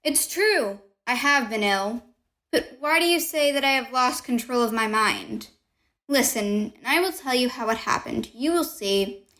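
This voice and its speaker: Gothic horror killer woman actor, slightly high voice, dramatic and intense, roomy echo, protesting sanity. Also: expressive, a little slow, bright, forceful tone. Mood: insistent, intense. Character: unhinged killer insisting on their own sanity. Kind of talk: gothic horror audio play monologue. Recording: echoey room with long reverb.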